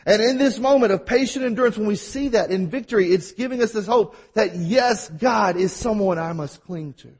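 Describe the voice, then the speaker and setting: Husky Mississippi-accented pastor preaching. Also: male voice, sits high. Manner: fast-talking at times, animated storytelling. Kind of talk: sermon.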